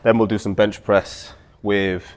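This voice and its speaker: A man hosting the show and coaching a workout, talking in a relaxed, loose way with plenty of fillers. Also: lowish voice, a little slow. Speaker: host and personal trainer. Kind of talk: casual coaching chat. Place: gym. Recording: echoey gym with long reverb.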